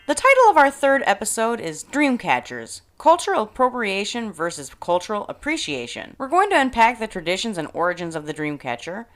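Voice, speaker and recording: A woman's voice: mid-range voice, fairly bright tone. A woman hosting a podcast in a casual, scripted monologue, speaking frankly and wryly with dramatic emphasis. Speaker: podcast host.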